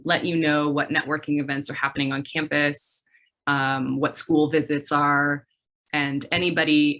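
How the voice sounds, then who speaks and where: Low bright woman rambling friendly about her program, lots of likes and ums. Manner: chatty, upbeat, lots of "like" and "um". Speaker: graduate program faculty host. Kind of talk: casual video-call chat.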